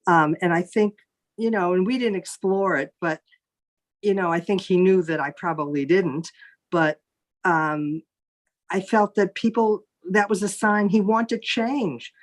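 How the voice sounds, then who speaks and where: Woman, lowish voice, thoughtful, hesitant, restarts, panel chat. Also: fairly even intonation, a little slow. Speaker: therapist, panel participant. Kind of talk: casual panel discussion.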